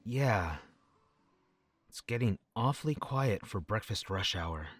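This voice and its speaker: A man playing an observant, easygoing companion in an audio drama, with a husky voice. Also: lowish voice, expressive, slow, a bit bright, no room sound, studio quality, heavily acted. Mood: wary. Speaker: voice actor. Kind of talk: anime-style audio drama acting.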